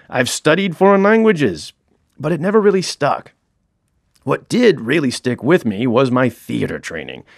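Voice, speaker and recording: A man's voice: medium pitch, dry recording, studio quality. A middle-aged man reading his own comic audiobook in a wry, self-mocking storytelling manner.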